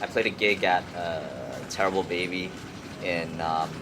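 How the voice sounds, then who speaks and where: A young man from Hong Kong chatting casually as an interview guest, halting and slangy, often repeating words. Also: low-pitched, fairly even intonation. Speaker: music artist, interview guest. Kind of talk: casual chat. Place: outdoors on an urban rooftop. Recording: clear outdoor city traffic noise.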